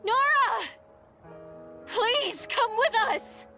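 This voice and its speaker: An actress voicing an earnest, insistent young train crew member in an anime-style game, pleading and emphatic. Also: female voice, very high-pitched, very slow, gravelly, pushed and bright, soft background music, heavily acted. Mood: urgent pleading.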